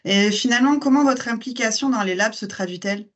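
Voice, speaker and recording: A woman's voice: on the high side, a little fast, muffled recording. A woman interviewer reading formal, scripted questions and introductions in a polished way.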